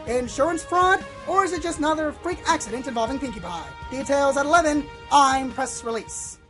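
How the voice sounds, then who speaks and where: A woman playing a brisk, dramatic pony news correspondent delivering a mock bulletin. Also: sits high, lively intonation, over music. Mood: dramatic, probing. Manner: punchy, dramatic announcing.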